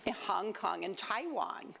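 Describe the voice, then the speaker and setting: A woman's voice: mid-range voice, expressive, measured pace, vocal fry, fairly bright tone, slight background noise. A woman leading an informal, interactive talk, putting questions to a group and repeating answers back. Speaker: speaker leading audience discussion.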